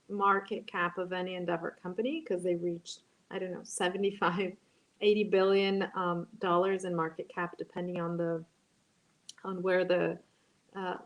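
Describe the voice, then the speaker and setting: A woman, moderating an online panel and talking in a halting way, full of ums and restarts. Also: on the low side, fairly even intonation, rough recording. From Brazil.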